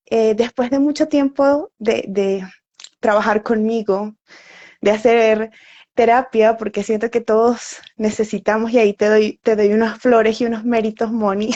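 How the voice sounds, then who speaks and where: A woman's voice: on the high side, a little mellow. A woman from Venezuela, an oncology nutritionist, chatting casually on a live stream with many fillers and frequent laughs. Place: home, living in Bogotá. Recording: dull lo-fi sound.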